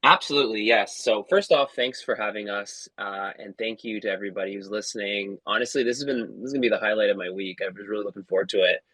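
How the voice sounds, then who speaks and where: A company founder, a man, explaining his product eagerly on a casual call, talking in quick bursts. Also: on the low side, bright, forceful tone, dry recording, rough recording. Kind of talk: casual chat.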